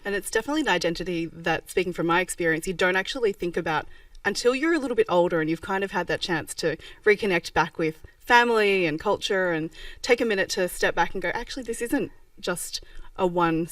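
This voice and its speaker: A woman's voice: lowish voice, fast talker, fairly bright tone, no echo. A woman hosting a podcast interview, asking questions with enthusiasm. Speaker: podcast host. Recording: dry, slightly distant mic.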